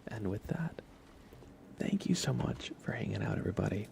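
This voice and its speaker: A man streaming ASMR in a soft, often whispery voice, chatting in an unhurried, low-key way with pauses. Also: gravelly, a little hiss or hum. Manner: hushed, unhurried, relaxed delivery with pauses. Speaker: ASMR livestreamer.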